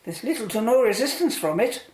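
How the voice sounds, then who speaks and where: A woman plays an upbeat scientist at work in a radio drama. Her voice is high and swings with excitement, set in a roomy echo. She sounds keen and curious about the job in hand. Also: brisk, close to an everyday voice. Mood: amazed, curious. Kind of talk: radio play acting.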